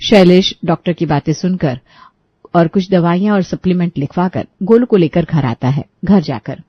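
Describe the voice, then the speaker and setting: A woman's voice: lowish voice, normal speed, husky, no echo, lo-fi sound. Dry, close woman storyteller. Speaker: storyteller, narrator. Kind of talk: scripted Hindi story narration.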